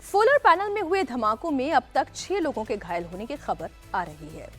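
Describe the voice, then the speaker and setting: A woman anchors television news in a high, swooping voice. Her delivery is dramatic and emphatic, pressing each point with urgency. Faint music plays underneath. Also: big pitch swings, moderate pace.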